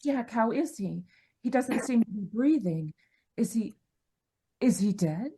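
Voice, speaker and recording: An actress playing an earnest, anxious young niece in an old-style radio mystery, pleading in manner. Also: female voice, fairly high pitch, expressive, measured pace, mellow, husky, exaggerated voice. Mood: alarmed. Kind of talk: 1940s radio mystery acting. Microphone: video call.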